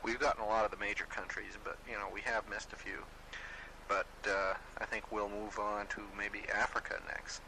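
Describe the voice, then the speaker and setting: Guy with a lowish voice chatting loosely as a radio show host, noisy amateur recording. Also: flat delivery, moderate pace. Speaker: host of a shortwave radio primer. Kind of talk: casual rambling presentation.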